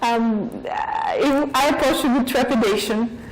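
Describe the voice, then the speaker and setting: A woman in her forties from Bulgaria with a Bulgarian accent, giving a thoughtful interview as a professor, with frequent pauses and fillers. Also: a little high, poor audio. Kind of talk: interview answers.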